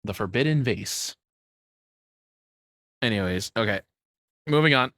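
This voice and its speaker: A man's voice: fairly low pitch, animated, measured pace, a bit bright, dry recording, studio quality. A man giving casual reaction commentary as a streamer, rambling informally and searching for words.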